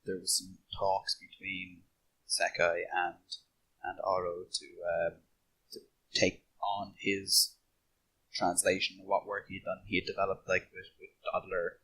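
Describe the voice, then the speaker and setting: A man's voice: low-pitched, monotone, unhurried, sharp tone, husky, poor audio. A man talking casually as a panelist in a podcast discussion.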